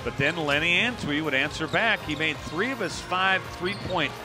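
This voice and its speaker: Brief: middle-aged male sportscaster, a touch high-pitched, crisp and brisk, headset mic at an arena desk, music bed playing under him. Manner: brisk, polished broadcast delivery. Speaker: play-by-play host. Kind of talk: post-game sports wrap.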